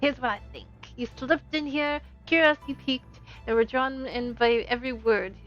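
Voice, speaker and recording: A woman voicing a sharp, disapproving young gentleman detective in a visual novel, stern and clipped. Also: on the high side, moderate pace, lightly acted. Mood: scornful. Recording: dull lo-fi stream audio with game music.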